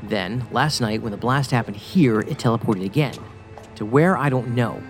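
A man's voice: mid-range voice, normal speed, natural voice. A man playing a stubborn, sardonic federal agent in a science fiction audio drama, speaking dryly and insistently. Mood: intent, dry. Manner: dry, insistent, sarcastic. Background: music.

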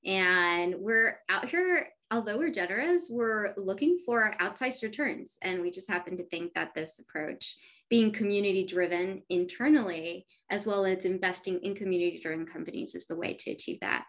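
A woman's voice: medium pitch, moderate pace, a bit bright. A woman whose first language is Spanish, a venture capital partner speaking enthusiastically as an interview guest. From United States. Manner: upbeat, enthusiastic, businesslike. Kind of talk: conversational interview.

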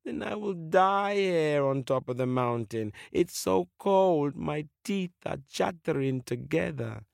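A man voicing a big, freezing whale from a folktale, crying and pleading in drawn-out wails. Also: expressive, creaky, a little mellow, no echo, exaggerated voice. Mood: miserable pleading. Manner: drawn-out pleading with high wailing moans.

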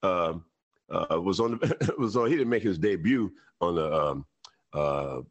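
A man's voice: low-pitched, expressive, unhurried. A man co-hosting a sports radio show, talking easily with chuckles and preachy asides. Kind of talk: casual podcast banter.

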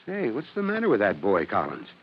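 An actor playing a jovial, teasing middle-aged fire chief in a vintage radio drama, with a hearty, authoritative manner. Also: male voice, on the low side, huge pitch swings, fairly quick, slightly rough, full character voice. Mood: genial. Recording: muffled old radio broadcast.

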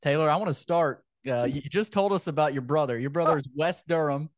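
A man's voice: on the high side, a little fast, no room sound. A man hosting a sports podcast in casual talk, often repeating phrases for emphasis.

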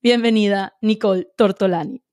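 A woman's voice: medium pitch, fairly even intonation, moderate pace, a little mellow, no room sound, studio quality, soft background music. A woman hosting a podcast, chatty and effusive with her praise. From Venezuela. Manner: gushing, effusive. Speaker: podcast host. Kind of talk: casual interview chat.

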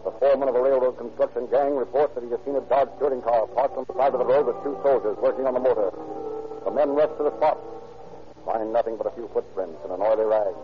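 An actor playing a brisk, probing lawman storyteller in an old-time radio crime drama. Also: mid-range voice, natural voice. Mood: dramatic narration. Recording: tinny, scratchy old radio recording.

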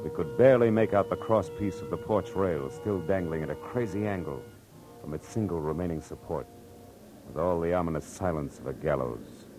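A man's voice: low voice, normal speed, music in the background, lightly acted. A man acting a terse, wry American private detective in a 1940s radio drama. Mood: grim, measured. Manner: terse, dry, wisecracking. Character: hard-boiled, wry, commanding male private detective. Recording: noisy old radio recording.

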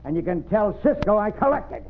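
A man's voice: high voice, animated, fairly quick. A man playing a swaggering, bullying outlaw marshal in a radio western, with an American accent and threatening cowboy slang. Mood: menacing. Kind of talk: old-time western radio acting. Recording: noisy, narrow-band vintage radio recording.

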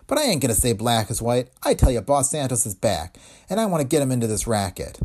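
A man voicing a tough, vengeful gangster's woman who talks in brassy slang, with a husky tone. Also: mid-range voice, huge pitch swings, fast, darkish tone, a little hiss or hum. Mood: defiant.

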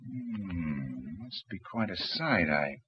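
An actor playing a decisive, commanding leader of a jungle guerrilla band, in 1940s radio adventure style. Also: male voice, low-pitched, big pitch swings, slow pace, full character voice. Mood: thoughtful, planning. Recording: muffled, hissy old radio broadcast.

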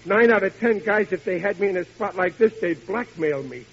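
A flustered, blustering American family man in an old radio comedy. His voice sits fairly high and trips over itself in a folksy stammer. The recording is dull and muffled. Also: animated, moderate pace, slightly rough, exaggerated voice. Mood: nervous. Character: flustered, blustering working-class family father. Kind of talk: 1940s radio comedy acting.

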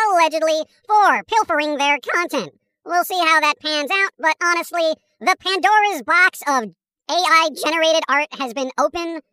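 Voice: extremely high pitch, pushed and bright. A performer voicing a wisecracking, cynical cartoon squirrel who delivers comic news chat in a sardonic, dismissive manner. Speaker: podcast host character.

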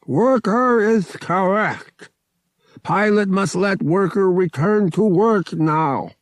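A man voicing a stern alien feline guard in an audiobook, with clipped broken grammar and slow, emphatic delivery. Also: high voice, no room sound, studio quality, voice pushed up. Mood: stern warning.

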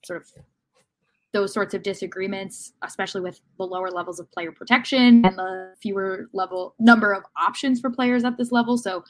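A woman's voice: mid-range voice, a bit bright. A woman talking casually and analytically as a sports podcast panelist, often hesitating and rephrasing as she goes. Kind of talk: casual football discussion.

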